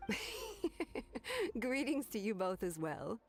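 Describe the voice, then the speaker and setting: A woman voicing a kindly, frail old eatery owner in a video game, with a shaky husky voice and a genial manner. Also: medium pitch, lively intonation, music in the background. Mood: welcoming. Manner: drawn-out, genial welcome.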